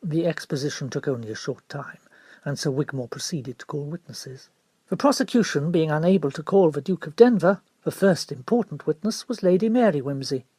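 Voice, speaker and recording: A man's voice: fairly high pitch, fairly quick, husky, no echo. Male audiobook narrator, dry close recording. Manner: formal, expressive storytelling. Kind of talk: scripted literary narration.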